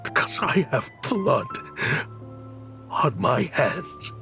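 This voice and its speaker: A man's voice: a little high, sing-song, very slow, creaky, pushed and bright, faint music behind, heavily acted. A man playing a bitter, guilt-ridden weapons researcher in a video game, with a grave, brooding, dramatic delivery. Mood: remorseful.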